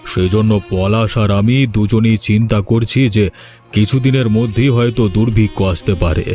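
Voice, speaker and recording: Troubled village landlord, low-key and earnest, acted by a man. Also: steady tone, normal speed, warm tone, close to an everyday voice. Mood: worried, sombre. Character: worried, kindly ageing village landlord, weary and earnest. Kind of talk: dramatic cartoon dialogue. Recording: music behind the voice.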